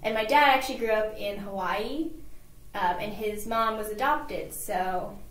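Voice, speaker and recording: A woman's voice: medium pitch, measured pace, fairly bright tone, slight background noise. Chatty young woman from California, roomy echo. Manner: chatty, many "like"s and fillers. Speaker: guest. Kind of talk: casual interview chat.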